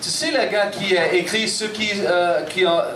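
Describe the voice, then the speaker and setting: Man in his thirties, anglophone Montreal accent, English native speaker, stand-up comedy, high-pitched, echoey room picked up from afar. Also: normal speed, fairly bright tone, distant mic, slight background noise. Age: 30s. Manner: self-deprecating, punchy, frequent "ok?" check-ins. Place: comedy bar stage.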